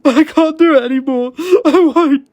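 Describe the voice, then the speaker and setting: A man's voice: very high-pitched, moderate pace, darkish tone, no echo, pitched above the performer's own voice. A man voicing a guilt-stricken worker who is breaking down, pleading desperately in a shaking voice for an audiobook story. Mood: despairing. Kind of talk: dramatic audiobook character acting.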